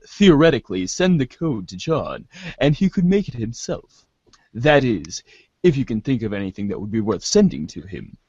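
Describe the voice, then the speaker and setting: A man's voice: medium pitch, a little mellow, dry recording. A man narrating as host of a reader's theater, theatrical in the story and casual in asides, on a slightly dull recording.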